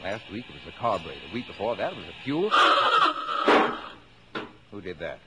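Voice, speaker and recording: A man's voice: low voice, very animated, brisk. An American actor in a mid-century radio drama, playing a jovial, middle-aged, blustery father with an exclamatory, mock-formal way of talking. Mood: exasperated. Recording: noisy old radio broadcast recording.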